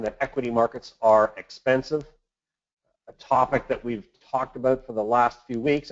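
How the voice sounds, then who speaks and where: A man giving a prepared financial market update as an analyst, in a measured, formal presenting manner. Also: mid-range voice. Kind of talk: prepared market commentary on a conference call. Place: conference call webinar. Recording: dull, lo-fi conference call audio.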